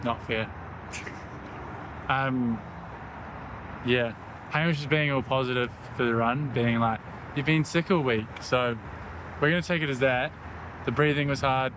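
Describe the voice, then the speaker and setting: A man's voice: mid-range voice, unhurried, pauses often, background noise. A man, out of breath while talking to camera outdoors on a run, rambling casually with lots of filler words. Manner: rambling, self-deprecating, lots of "like". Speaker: running vlogger. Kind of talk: casual vlog talk while running. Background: loud music.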